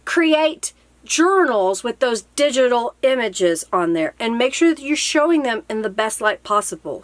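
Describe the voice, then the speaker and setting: A woman's voice: high-pitched, no echo. A middle-aged woman giving casual instructional advice to camera in an explanatory way, often posing questions and then answering them. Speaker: craft video host and blogger. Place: small cluttered indoor craft room.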